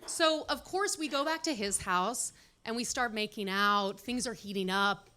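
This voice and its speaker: A woman's voice: medium pitch, moderate pace, pushed and bright, slight background noise. A woman in her late thirties performing a stand-up set at a comedy club, delivering dry, self-deprecating punchlines. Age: 30s. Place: comedy club stage with live audience.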